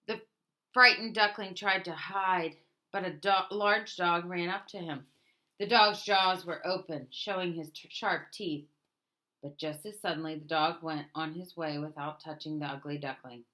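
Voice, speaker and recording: Bright-voiced woman reading a storybook. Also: fairly low pitch, steady tone, unhurried. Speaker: storybook reader. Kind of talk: scripted children's storybook read-aloud.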